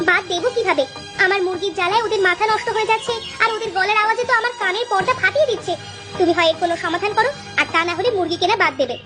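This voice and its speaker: A woman voicing an exasperated, complaining village housewife and mother in an animated children's story. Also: very high voice, very fast, over music.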